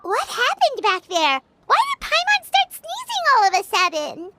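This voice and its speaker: A woman voicing a tiny, excitable female fairy companion with a squeaky, childlike voice in a game. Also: normal speed, very noisy, heavily acted. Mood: puzzled. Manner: excitable, refers to herself by name. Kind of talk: game voice acting. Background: game music.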